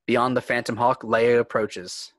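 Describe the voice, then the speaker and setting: A man's voice: mid-range voice, little pitch movement, measured pace, a bit bright, no room sound. A man reading screenplay stage directions as dramatic scripted narration.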